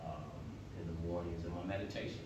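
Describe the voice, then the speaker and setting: A man's voice: sits low, fairly even intonation, quick pace, background noise. A man speaking as a panelist, emphatic and listing points in rhythmic runs. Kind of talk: panel discussion. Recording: echoey room, mic far off.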